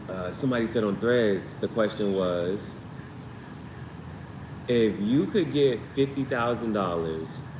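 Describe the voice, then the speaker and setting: A man hosting a casual live stream as a tarot reader, rambling with slangy asides and repeating himself as he goes. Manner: repetitive, tangential, slangy asides. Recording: roomy, distant mic, noisy background with vehicle rumble.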